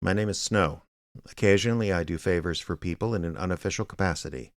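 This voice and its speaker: An actor playing a sardonic, reluctant small-town investigator in a radio drama, with a dry, wry, hardboiled delivery. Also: male voice, low voice, fast talker, dry recording. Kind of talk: hardboiled detective radio drama narration and dialogue.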